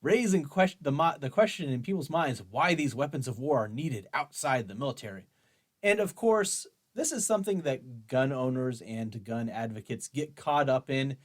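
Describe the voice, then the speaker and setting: A man's voice: medium pitch, animated, moderate pace. A man hosting an opinion show, reading an article aloud and commenting on it in an argumentative, dryly sarcastic way. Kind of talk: article read-along with running commentary.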